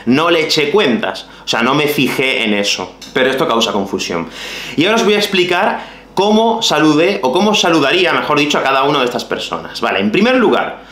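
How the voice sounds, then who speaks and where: Man, 30s, softened Andalusian accent, language teacher, lively pitch, talks to viewers, echoey small room. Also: a little fast. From Málaga, Spain. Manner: animated, gestures with his hands, addresses viewers directly. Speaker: Spanish teacher. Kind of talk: explaining to the camera for language learners.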